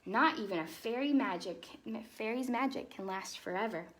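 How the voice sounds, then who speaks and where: A woman voicing a kindly fairy godmother from a storybook, encouraging and gently commanding. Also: medium pitch, lively intonation, moderate pace, a little hiss or hum, natural voice, rough recording.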